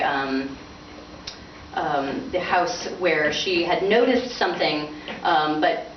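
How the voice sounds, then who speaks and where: Woman author at a book reading; lower-pitched voice, picked up from a distance with noise. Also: dull audio. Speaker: author reading from own novel. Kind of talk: informal book talk and dramatic prose reading. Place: bookstore reading event. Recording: roomy, distant, noisy bandlimited event recording.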